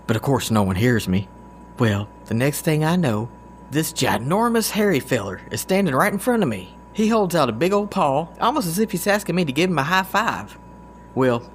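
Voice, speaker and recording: Man playing a folksy Southern guy, lively swooping delivery. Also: fairly high pitch, a little hiss or hum, natural voice. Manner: folksy, drawn-out, excitable storytelling. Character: goofy, good-natured folksy country man, chatty secret agent. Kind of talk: scripted audio drama dialogue.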